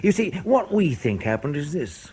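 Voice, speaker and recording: A spy-service interrogator in a radio play, sardonic and colloquial. His pitch swings freely with a slightly husky edge, and he delivers threats as dry, deadpan understatement. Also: normal speed, full character voice. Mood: needling, menacing. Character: sardonic, menacing male British intelligence interrogator. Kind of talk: radio drama acting.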